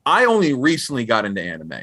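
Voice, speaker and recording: Bright-voiced, chatty male interviewer. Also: medium pitch, huge pitch swings, fast. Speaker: podcast host, interviewer. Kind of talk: casual chat interview.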